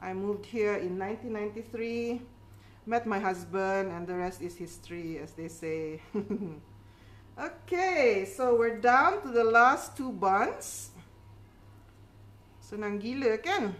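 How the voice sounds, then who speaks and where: A woman's voice: mid-range voice, expressive, slow, fairly bright tone. A middle-aged woman from the Philippines, hosting a casual cooking demonstration in a chatty, reassuring way with frequent little check-in tags. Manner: encouraging, chatty, reassuring, with tag questions like okay. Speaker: home baking instructor and cooking show host. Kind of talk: casual cooking demonstration, code-switching chat.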